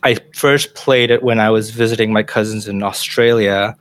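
Middle-aged professor from Malaysia, low-pitched, thoughtful and hesitant podcast guest, dry close sound. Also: male voice, steady tone, moderate pace, dry recording. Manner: thoughtful, hesitant, frequent restarts. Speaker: professor of educational technology. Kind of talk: casual interview answers.

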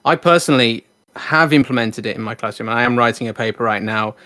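A man's voice: mid-range voice, fast talker, pushed and bright, no room sound. A man hosting a podcast interview, speaking casually with fillers and often affirming his guest. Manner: friendly, uses many fillers and affirming backchannels. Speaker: podcast host.

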